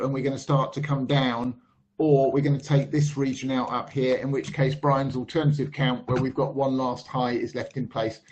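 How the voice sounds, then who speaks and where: A man, losing his voice a little, talking through trading charts in a measured way with frequent pauses and ums. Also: medium pitch, darkish tone. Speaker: market analyst. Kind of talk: screen-share chart commentary. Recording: slightly dull lo-fi voice-over.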